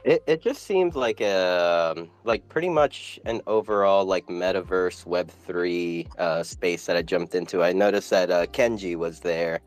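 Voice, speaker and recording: A male host of a casual chat, relaxed and halting, with lots of fillers as he talks. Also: sits low.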